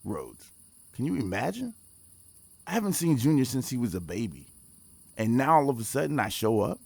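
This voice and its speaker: Audio drama lead: guarded, pragmatic team leader, forties, creaky voice, lively inflection, measured delivery. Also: male voice, medium pitch, dry recording. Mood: guarded, conflicted. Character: guarded, pragmatic male time-travel mission leader in his forties. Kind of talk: naturalistic audio drama dialogue.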